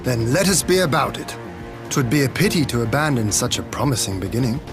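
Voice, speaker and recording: An actor playing a grave, noble warrior lord in a video game, with solemn, deliberate, archaic phrasing. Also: male voice, medium pitch, sing-song, lightly acted. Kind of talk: video game cutscene acting. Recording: loud game music behind.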